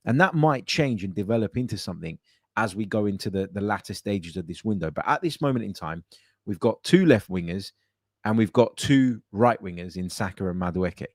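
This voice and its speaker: A man's voice: on the low side, animated, fairly quick, dry recording, studio quality. A man hosting a casual call-in podcast from his home office, chatting loosely with plenty of fillers and restarts. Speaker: podcast host.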